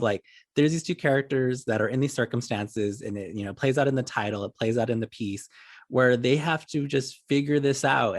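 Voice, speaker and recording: A young man with a medium-pitched voice hosts a casual interview. He speaks conversationally, and the recording is dry with very little room sound. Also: no room sound. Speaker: interviewer, workshop facilitator.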